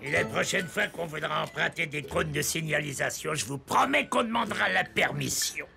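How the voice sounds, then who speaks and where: A man playing a gruff, blustery old bearded pirate captain with a gravelly voice in a children's cartoon. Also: on the high side, moderate pace, music in the background. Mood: hearty. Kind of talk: animated children's cartoon dialogue.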